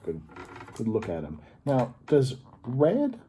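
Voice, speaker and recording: A man reading a picture book aloud to children as a story time host, pausing to explain and ask simple questions in a teacherly way. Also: medium pitch, huge pitch swings, dark tone, slight background noise.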